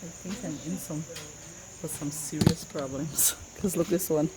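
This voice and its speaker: Female cooking vlogger, Guyanese accent, low register, casual think-aloud chat, clearly noisy home recording with insects. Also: steady tone, unhurried. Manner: thinks aloud, repeating phrases.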